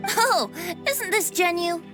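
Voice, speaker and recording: A voice actor playing a playful young male bard in a game, lilting, giggly and flattering. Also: very high-pitched, takes it slowly, exaggerated voice. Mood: cheerful. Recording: game cutscene with music behind.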